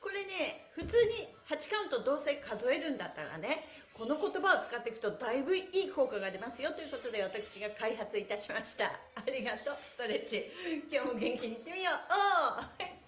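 Female exercise host, middle-aged, bright-spirited and encouraging, swooping intonation, roomy camera-mic sound. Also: high voice, big pitch swings, a little fast. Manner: cheerful, encouraging, exclamatory; polite, with playful honorifics for body parts. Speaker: stretching instructor and stream host. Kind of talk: live exercise lesson to viewers. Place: small bare-walled room indoors. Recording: roomy indoor echo.

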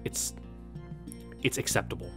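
A young man reading a visual novel aloud and adding casual, wry asides. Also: a little slow. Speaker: let's-play narrator. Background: game music.

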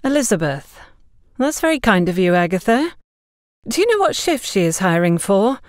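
A woman's voice: mid-range voice, very animated, moderate pace, dry recording, studio quality, close to an everyday voice. A woman voicing a courteous, guarded shopkeeper in an audio story, with a restrained manner. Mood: guarded, polite. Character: polite, guarded woman shopkeeper, a worried mother.

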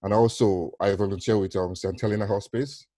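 A man's voice: lowish voice, fairly even intonation, fairly quick, dark tone, no room sound, studio quality. A middle-aged man with a Nigerian accent, answering interview questions conversationally and often rephrasing himself as he goes. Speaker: counsellor, interview guest. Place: small room at home, on a video call. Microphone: headset.

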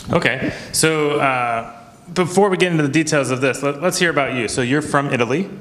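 Male host, 30s, casual halting interviewer; mid pitch, echoey room. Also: fairly bright tone. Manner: friendly, halting questions with fillers. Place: office studio with a roomy echo. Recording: echoey room with faint noise.

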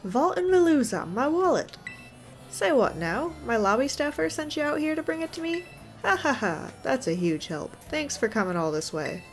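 A woman doing an excitable old gym leader. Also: moderate pace, soft background music. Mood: relieved, chatty. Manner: exclaiming, folksy, booming catchphrase.

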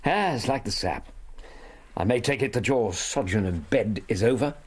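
Genial doctor sidekick, mid voice, lively up and down, radio play. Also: male voice, medium pitch, normal speed, breathy edge. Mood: bantering. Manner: genial, gently bantering. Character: good-humoured loyal male doctor companion. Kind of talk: radio drama acting.

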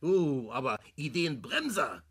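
An actor playing a brash, impatient small-time crook with a Berlin accent in a 1960s German radio play, mocking and exasperated. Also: male voice, a little high, sing-song, a little slow, noisy background. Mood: scornful.

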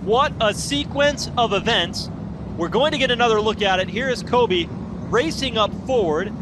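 A man calling live play-by-play for a stock car race, with excited, rapid race calling. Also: sits high. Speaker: race commentator. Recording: loud race-car noise underneath. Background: roaring race engines.

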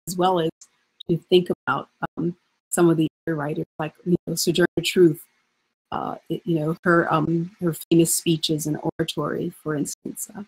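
Casting: middle-aged female scholar, lowish husky voice, explanatory panel talk on a video call. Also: darkish tone, poor audio. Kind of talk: thoughtful explanation in a panel discussion. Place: indoor room at home. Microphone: video call, computer microphone.

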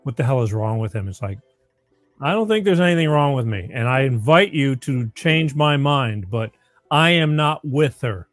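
A somewhat raspy man hosting an informal political talk show, plain-spoken and repeating phrases for emphasis. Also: medium pitch, expressive, normal speed, no echo, faint music behind. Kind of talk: informal live opinion monologue to listeners.

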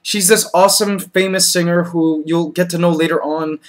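Man, 30s, high-pitched vlogger, patient and amused. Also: steady tone, normal speed. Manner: patient then exasperated, laughing. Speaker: comedy vlogger. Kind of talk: comedy sketch vlog. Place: small bedroom, indoors.

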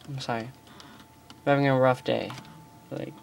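A channel host giving chatty, excitable game commentary, explaining features and joking about their own slips, recorded on a phone filming a screen. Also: medium pitch, gravelly. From United States. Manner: chatty, excitable, self-deprecating. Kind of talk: casual game commentary tutorial.

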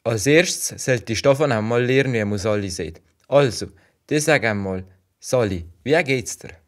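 A sceptical village lad, acted by a male performer, in an amateur radio play. He speaks in a mid-pitched voice with a Valais Swiss German accent. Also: animated, measured pace, close to an everyday voice. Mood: patient, sceptical.

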